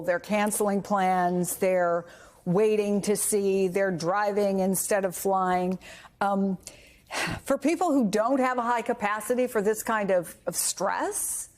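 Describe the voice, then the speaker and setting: A woman's voice: medium pitch, a little mellow. A woman working as a TV news anchor, interviewing guests in a conversational style.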